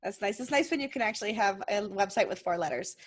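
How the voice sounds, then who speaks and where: A woman hosting an interview, upbeat and playful, with quick reactions and jokey asides. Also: medium pitch, animated. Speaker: host. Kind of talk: casual chat.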